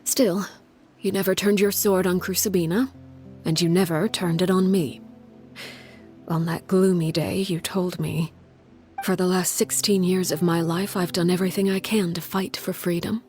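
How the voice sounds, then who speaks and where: A woman voicing a stern, solemn leader in a video game, speaking in a grave, measured, hushed way. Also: fairly low pitch, steady tone, faint music behind, natural voice. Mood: solemn, subdued. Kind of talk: dramatic video game acting.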